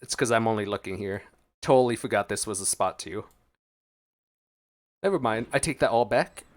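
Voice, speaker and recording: A man giving casual, expressive gameplay commentary, talking to himself playfully as he plays. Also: fairly low pitch, normal speed. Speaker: gaming streamer. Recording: game music behind voice. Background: video game music.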